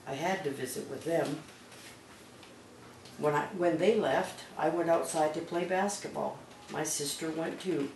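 A woman's voice: sits low, unhurried, darkish tone. An older woman, a grandmother, reading a children's picture book aloud at home. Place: small living room at home, on a couch. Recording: roomy, distant mic, background noise.

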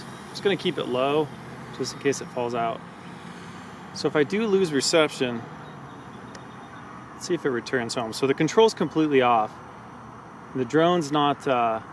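A man giving casual, upbeat commentary while test-flying a drone outdoors in a park field, thinking aloud as he goes. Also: a little high. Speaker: drone reviewer. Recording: outdoor wind and traffic noise.

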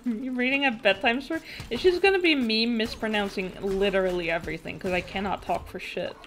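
A young woman streaming a game, chatting casually with her viewers in a nasal voice with vocal fry, giggling often and breaking into excited shrieks. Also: fairly high pitch, brisk, slight background noise. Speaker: VTuber streamer. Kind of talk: casual gaming stream chat, reading chat aloud.

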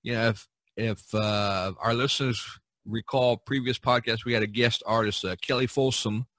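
A man's voice: medium pitch, fairly even intonation, normal speed, fairly bright tone, no echo. A man hosting an art podcast in casual chat, speaking in an encouraging way. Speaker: podcast host and artist.

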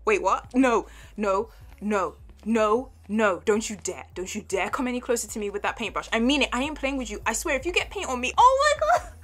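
A woman's voice: fairly high pitch, big pitch swings, fairly quick, a bit bright, faint music behind. A young woman playing a flustered, playful artsy college girl with a crush, stammering and giggly in an intimate audio roleplay. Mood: playful, mock indignant. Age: young adult.

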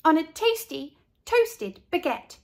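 Woman playing a high-voiced proud little girl. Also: big pitch swings, slow pace, gravelly, exaggerated voice. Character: cheerful proud little girl who likes being different.

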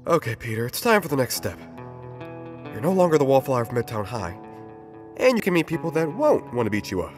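A man voicing an earnest, awkward young college student in a comic dub, with an expressive manner. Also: medium pitch, brisk, close to an everyday voice. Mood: hopeful, self-coaching. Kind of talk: comic dub character acting. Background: music.

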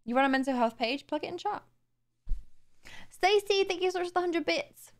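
A young woman streaming from her room late at night, tired and a little raspy, chatting casually and thanking viewers on a close studio mic. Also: very high-pitched, lively intonation, moderate pace. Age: young adult. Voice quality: slightly raspy today. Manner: chatty, bubbly, laughs easily. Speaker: live streamer. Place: home streaming room, indoors. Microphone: boom studio mic close to mouth.

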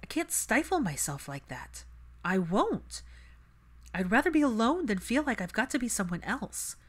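An impassioned young daughter stands her ground as a woman narrator acts her out. Her voice rides higher and more forcefully, emphatic and argumentative, in the manner of a storybook reading. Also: sing-song, moderate pace.

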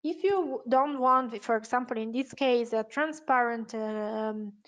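High-voiced Italian woman giving a software tutorial. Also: a little slow. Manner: hesitant, unscripted explaining with self-corrections. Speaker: webinar presenter, software trainer.

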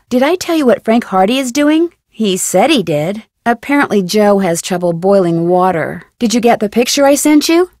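A woman's voice: on the high side, no echo, studio quality, lightly acted. A woman playing a friendly, curious young American amateur detective in a video game, speaking in a perky, inquisitive, conversational way. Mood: eager, confiding. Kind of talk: scripted game dialogue, conversational.

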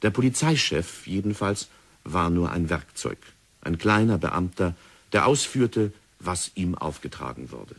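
A man narrating a radio drama in a measured, sober manner. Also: sits low, sing-song, slightly rough.

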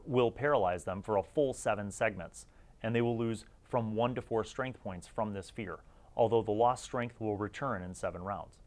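A man hosting a retro tabletop gaming review, mixing read-aloud excerpts with informal, chatty commentary. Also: medium pitch. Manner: informal, slangy, chatty asides.

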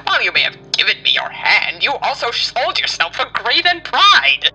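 A man's voice: extremely high pitch, wildly swooping pitch, normal speed, pushed and bright, husky, soft background music, exaggerated voice, low-quality recording. A man voicing a sinister, suave male demon who talks in a theatrical, mocking way with honeyed menace. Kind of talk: fan comic dub.

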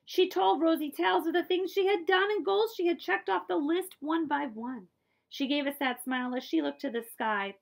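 A middle-aged woman reading a picture book aloud in an expressive, deliberate storytelling manner for children. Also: extremely high pitch, gravelly. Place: small room with bookshelves, indoors.

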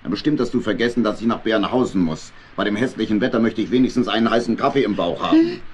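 Deep, grumpy old uncle badger. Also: male voice, sing-song, fast, slight background noise. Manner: gruff, scolding, grumbling. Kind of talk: children's radio play acting.

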